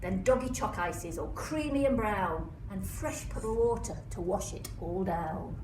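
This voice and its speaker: A woman reading a rhyming children's story aloud like a teacher, with expressive, emphatic delivery. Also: medium pitch, measured pace. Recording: echoey room, distant mic, noisy background.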